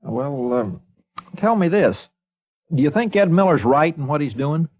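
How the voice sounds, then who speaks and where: A calm, folksy small-town newspaperman talks in an easygoing drawl. His voice is a little husky. The sound is dull and lo-fi, like an old radio broadcast. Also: on the high side, unhurried. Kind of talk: 1950s radio acting.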